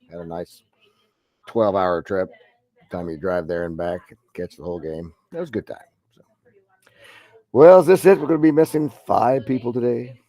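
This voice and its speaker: A man's voice: on the low side, mellow, dry recording. Congested middle-aged male math teacher. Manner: rambling, many fillers and restarts. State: head cold, deep congested voice. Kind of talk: online class lecture.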